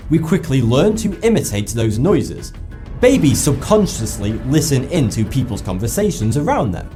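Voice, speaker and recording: A man with a West Lancashire accent narrates an explainer in a scripted but lively way. His pitch swings widely and he slips in wry asides. His voice sits in a mid range, with soft music underneath. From England.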